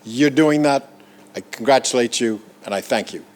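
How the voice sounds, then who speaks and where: A man's voice: mid-range voice, normal speed, a little mellow, a little hiss or hum. A man from the Bahamas giving an earnest, encouraging ceremonial speech at a podium as a Rotary Foundation leader. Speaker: Rotary Foundation trustee chair.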